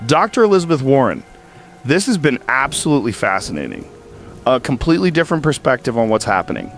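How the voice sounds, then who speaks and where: A man's voice: mid-range voice, very animated, fast. A man playing a curious, skeptical host of a news interview in a radio drama, probing and a little incredulous. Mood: thoughtful. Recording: music playing under the voice. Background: background music.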